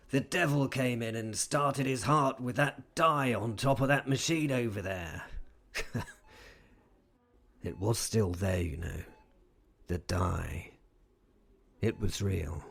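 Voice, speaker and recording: Slow, gravelly professor. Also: male voice, fairly low pitch, full character voice. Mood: wondering. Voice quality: gravelly, husky. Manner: hesitant, musing, dryly formal. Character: weary, wry, courteous British male professor. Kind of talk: audio drama monologue.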